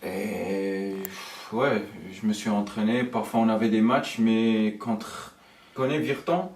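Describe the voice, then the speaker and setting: A man's voice: lowish voice, takes it slowly, darkish tone. A young man from Italy and Luxembourg, casually telling his own story as an interview guest, with frequent informal tags. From born in Italy, raised in Luxembourg from age nine. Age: young adult. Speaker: guest, professional footballer. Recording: roomy echo, distant from the mic.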